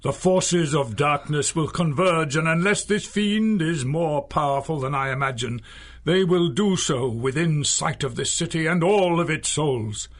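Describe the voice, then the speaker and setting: An actor playing an urbane old retired magician and crime fighter in a radio drama, with a theatrical, formal, dryly witty delivery. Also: male voice, a little high, unhurried. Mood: grave. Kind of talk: 1930s-style radio drama acting.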